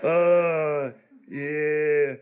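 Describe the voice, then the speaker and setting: A man playing a shifty, naive young country fellow in live radio comedy, halting and awkward. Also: fairly high pitch, steady tone, background noise. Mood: nervous, evasive. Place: live stage before an audience.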